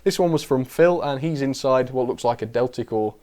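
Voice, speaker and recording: A man hosting a casual live stream, chatty and self-deprecating, his voice a little rough from a cold. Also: mid-range voice, a little fast, a little mellow. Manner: chatty, self-deprecating, invites viewers to correct him. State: a bit of a cold, voice recovering.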